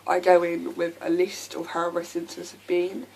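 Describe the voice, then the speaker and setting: A young woman with a croaky voice from a lasting sore throat, talking candidly to camera with many ums. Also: on the low side, level tone, moderate pace, a little mellow, low-quality recording. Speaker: vlogger. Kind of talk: casual talk to camera.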